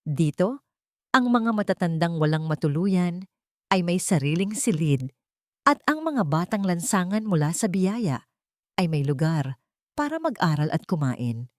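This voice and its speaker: Low-pitched woman narrating a story. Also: measured pace, pauses often, no echo, studio quality. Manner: steady storytelling read. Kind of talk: scripted audio story narration.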